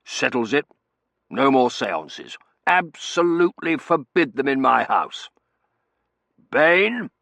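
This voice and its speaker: A man voicing a gruff older Victorian colonel in an audiobook, barking in clipped fragments that drop their subjects. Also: mid-range voice, very animated, slow, fairly bright tone, dry recording, voice pushed up, exaggerated voice. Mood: blustering, commanding. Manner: clipped shorthand speech, dropping sentence subjects. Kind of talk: audiobook character voice.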